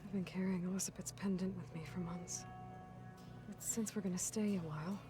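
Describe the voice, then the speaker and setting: A woman's voice: lowish voice, steady tone, brisk, over music, natural voice. An actress voicing a young, adventurous woman warrior in a video game, guarded and burdened, musing to herself in an earnest, introspective way. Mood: quiet, reflective.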